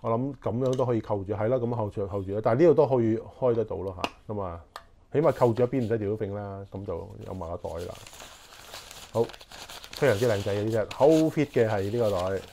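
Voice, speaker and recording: Hk cantonese guy in his 40s, lowish voice, rambling unboxing chat, lapel mic at home, bit of hiss. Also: fast talker, mellow. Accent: Hong Kong Cantonese. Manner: chatty, thinking aloud with many fillers. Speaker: camping gear reviewer. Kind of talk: casual unboxing talk. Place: small living room at home. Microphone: clip-on lapel mic.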